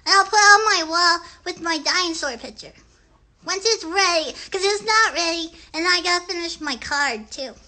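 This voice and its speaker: Casting: excitable little kid puppet, extremely high bright voice, rambling, earnest, childlike, for a children's puppet show. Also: female voice, moderate pace, exaggerated voice. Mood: excited, friendly. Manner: rambling, childlike, stumbles on big words.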